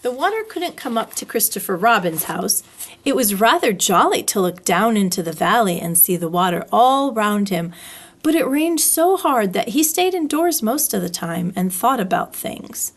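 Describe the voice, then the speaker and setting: A woman's voice: mid-range voice, big pitch swings, moderate pace. A woman reading a children's story aloud as a storyteller, expressively, drawing out words for effect. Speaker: library storyteller.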